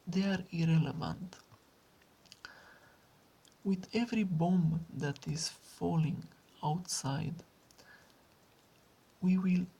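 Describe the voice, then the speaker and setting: A woman with a low, mellow voice guides a meditation in a hushed tone. She speaks very slowly, leaving long pauses and repeating phrases soothingly. Her husky, breathy delivery often drops into a whisper. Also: low-quality recording.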